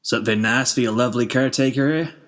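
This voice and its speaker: A man's voice: mid-range voice, quick pace, a bit bright, lightly acted. Man doing a scratchy-voiced stout pony shopkeeper. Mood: forward, salesy. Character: stout, forward male pony shopkeeper with sore throat. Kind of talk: fan fiction character reading.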